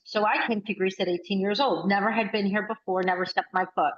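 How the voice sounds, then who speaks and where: Chatty woman in her sixties. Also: medium pitch. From United States. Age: 60s. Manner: chatty, animated storyteller, quick bursts. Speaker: workshop participant. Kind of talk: casual video call chat. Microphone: video call. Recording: roomy, slightly muffled call audio.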